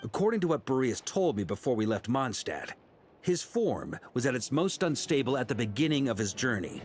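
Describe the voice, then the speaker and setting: An actor playing a seasoned, confident knight commander laying out a plan. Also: male voice, mid-range voice, lightly acted. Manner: steady, assured, commanding. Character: seasoned male knight commander, calm planner. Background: game music.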